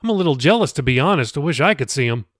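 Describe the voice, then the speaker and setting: Man voicing a chatty, high-pitched kindly pastor. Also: animated, very fast, dry recording, clean studio sound. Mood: affable. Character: kindly middle-aged male pastor, chatty, gently teasing.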